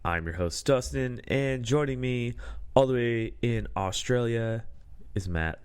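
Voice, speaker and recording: Man, podcast host, slightly drunk, loose rambling chat, clear smooth tone. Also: mid-range voice, unhurried. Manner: laid-back, rambling, laughs often. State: a little drunk. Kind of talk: casual chat.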